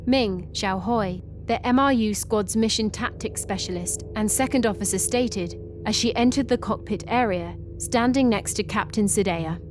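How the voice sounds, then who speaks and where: A woman's voice: mid-range voice, moderate pace, few pauses, music in the background. A woman reading scripted story narration as the narrator.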